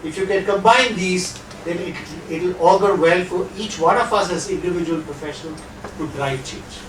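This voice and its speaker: A middle-aged Indian man gives a lecture to an audience in a reverberant hall, a little higher-pitched than most men. He sits back from the microphone, so the sound reaches it thinly. He talks in a conversational way and keeps tossing rhetorical checks to the room. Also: normal speed, slight background noise. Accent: Indian English. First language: not Hindi. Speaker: guest lecturer. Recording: echoey hall, distant mic.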